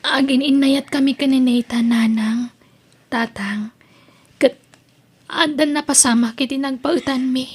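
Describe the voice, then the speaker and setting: A young woman in love, acted by a woman in a family audio drama. Her voice is very high, and she speaks as an earnest, pleading daughter. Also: steady tone, unhurried, long pauses, slightly rough. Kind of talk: Ilocano family radio-style drama acting.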